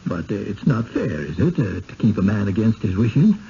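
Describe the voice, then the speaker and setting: A furtive, low-voiced rustic villager. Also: male voice, big pitch swings, vocal fry, warm tone. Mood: nervous, secretive. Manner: halting, rustic folksy speech. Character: timid, furtive small-town villager, pleading and humble. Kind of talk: 1970s radio drama acting. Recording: muffled, hissy 1970s radio broadcast.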